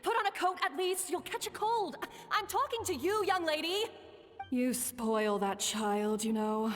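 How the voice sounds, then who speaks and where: A woman's voice: high voice, animated, measured pace. A worrying, firm but loving mother for a video game. Her delivery moves up and down a lot, and it rings with long reverb over music. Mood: exasperated. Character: caring, strict, worrying mother of a young girl.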